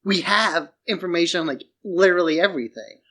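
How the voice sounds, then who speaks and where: A man's voice: high-pitched, animated, fairly quick, bright, forceful tone. A man co-hosting a podcast in an informal, chatty way, often dropping "like" into his sentences. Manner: informal, peppers speech with "like". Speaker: podcast co-host. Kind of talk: casual chat.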